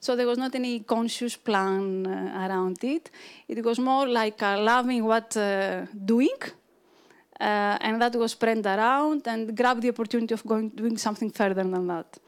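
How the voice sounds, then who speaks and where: A woman's voice: fairly high pitch, moderate pace, smooth. A woman from Greece with a Greek accent tells her own career story informally as a panel speaker.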